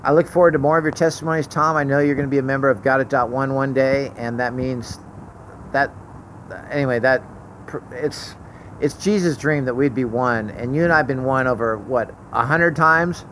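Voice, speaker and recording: A man's voice: mid-range voice, moderate pace, fairly bright tone, poor audio. Male interviewer, faint traffic behind. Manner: encouraging, affirming. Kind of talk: casual chatty interview. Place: outdoors. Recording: outdoor phone recording, faint traffic noise. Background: faint vehicle noise.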